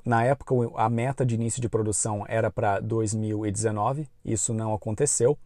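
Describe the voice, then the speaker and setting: A man with a Brazilian Portuguese accent, hosting a channel and giving informative, measured news commentary in an explanatory way. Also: lowish voice, fairly even intonation, mellow, no echo, studio quality. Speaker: YouTube channel host.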